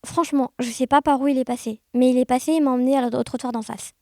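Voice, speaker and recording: A woman chatting casually as an interview guest, telling anecdotes in an animated, conversational way. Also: high-pitched, fast talker, dry recording, studio quality. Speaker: podcast guest, blind guide dog user sharing experiences.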